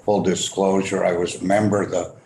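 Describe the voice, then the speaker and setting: Deep-voiced older man in his 70s. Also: fairly quick. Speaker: state representative. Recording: distant, echoey room with steady hiss.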